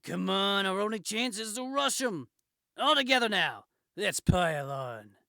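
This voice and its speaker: Man playing loud, brash comic-book crook. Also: sits high, lively intonation, a little slow, creaky, no room sound, clean studio sound, pitched above the performer's own voice, heavily acted. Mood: excited, aggressive. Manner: shouty, tough-talking.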